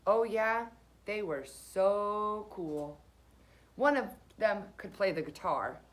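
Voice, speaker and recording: A woman's voice: medium pitch, lively intonation, slow pace. A woman reading a children's picture book aloud as a teacher would, expressively and with dramatic emphasis.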